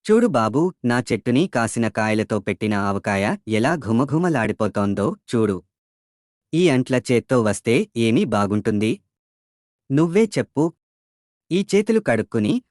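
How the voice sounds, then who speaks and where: A man's voice: mid-range voice, big pitch swings, normal speed, a little mellow, dry recording, clean studio sound, natural voice. A man voicing a crafty, feisty old village woman in a folk tale, with a chatty, wheedling, folksy manner. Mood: coaxing.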